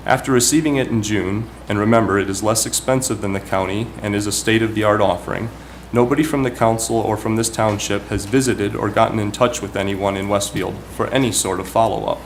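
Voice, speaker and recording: A man giving prepared, formal remarks as a town councilman at a public meeting, speaking in a measured, deliberate way. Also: fairly low pitch. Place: municipal meeting room. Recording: clearly noisy public meeting recording.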